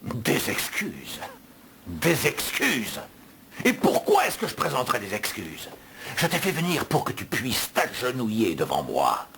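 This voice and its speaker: An actor playing an arrogant, menacing false prince in a dubbed animated fantasy film, pompous and insulting. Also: male voice, medium pitch, measured pace, vocal fry, background noise, exaggerated voice. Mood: cold, imperious. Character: arrogant, menacing male usurper posing as a prince. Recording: loud music under the dialogue.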